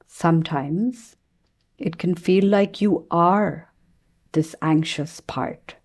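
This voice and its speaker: Female meditation teacher. Soft-edged warm tone, very slow pacing, gentle and soothing, leaves long pauses. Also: fairly low pitch. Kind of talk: guided meditation.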